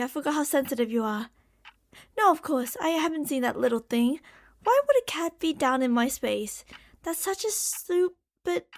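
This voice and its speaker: A woman's voice: sits high, exaggerated voice. A woman playing an impatient, obsessive female mad scientist in an ASMR roleplay, theatrical and condescending. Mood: dismissive, irritated.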